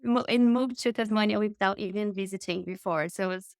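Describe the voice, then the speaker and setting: A woman from Brazil speaks English with a Brazilian Portuguese accent, warm and enthusiastic as she tells her story to an interviewer. Her pitch sits in the middle range. The room sounds dry and close to the mic. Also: a little fast, gravelly, no room sound. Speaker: guest, marketing consultant.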